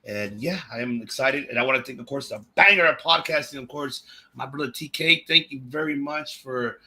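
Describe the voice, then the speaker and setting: A hoarse man signs off his podcast in a hyped, rapid run of plugs and shout-outs. His voice is bright and forceful even while he is still getting over a cold. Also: medium pitch. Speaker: podcast host. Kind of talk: energetic podcast sign-off.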